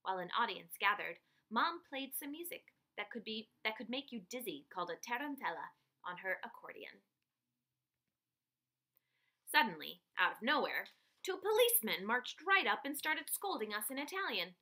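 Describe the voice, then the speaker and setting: A woman reading a picture book aloud to children like a teacher, with expressive, dramatic emphasis on key phrases. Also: fairly high pitch, normal speed.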